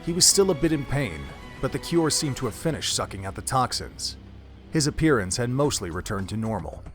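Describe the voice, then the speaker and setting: A man's voice: mid-range voice, lively intonation, a little fast. A man reading scripted narration for an audio drama in a dramatic storytelling manner. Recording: music under the narration.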